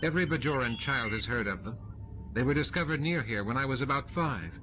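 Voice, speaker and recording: A man's voice: mid-range voice, expressive, a little fast, dull audio, noisy background, pitched above the performer's own voice, lightly acted. A man reading an audiobook, voicing a tense, frustrated woman military officer in an urgent, snappy manner. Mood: earnest.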